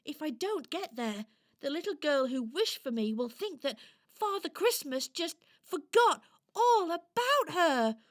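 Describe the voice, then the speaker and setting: A woman's voice: high-pitched, big pitch swings, measured pace. A woman voicing a timid, apologetic little toy in a children's radio play, earnest and hesitant. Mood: earnest, anxious.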